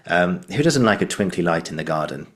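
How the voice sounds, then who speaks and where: A man's voice: sits low, very animated, a little fast. A British man hosting a podcast, chatting casually and encouragingly, repeating phrases for emphasis. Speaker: podcast host. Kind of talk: casual interview chat.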